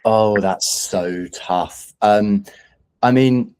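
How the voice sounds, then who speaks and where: Low-voiced London man, soft dark tone. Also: extremely slow, smooth. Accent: London English. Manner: enthusiastic, rambling, informal. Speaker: musician being interviewed. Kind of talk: casual interview chat.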